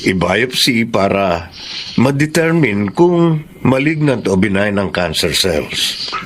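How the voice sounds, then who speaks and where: An actor playing a calm male doctor in a radio drama, explaining test results in a measured, clinical way. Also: on the low side, a little hiss or hum.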